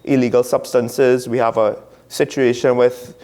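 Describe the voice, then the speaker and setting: A talk show host with a mid-pitched voice. The host poses probing questions to a political guest. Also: little pitch movement, measured pace, mellow.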